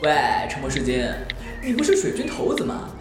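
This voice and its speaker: A woman playing an excitable, theatrical young male idol in a Chinese audio drama. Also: extremely low pitch, moderate pace, reverberant, over music, heavily acted. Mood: whiny, demanding. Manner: theatrical, gushing, pouty.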